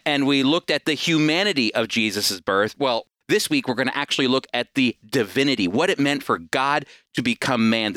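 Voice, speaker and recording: A man's voice: medium pitch, pushed and bright, no room sound, studio quality. A man teaching the Bible on radio in a conversational, earnest and emphatic way.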